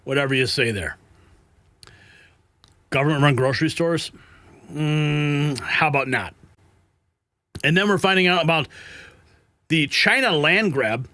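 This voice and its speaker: A man's voice: on the high side, unhurried, pauses often, bright, forceful tone, no room sound. A man hosting an online news show, giving opinionated commentary with sarcastic, scoffing asides.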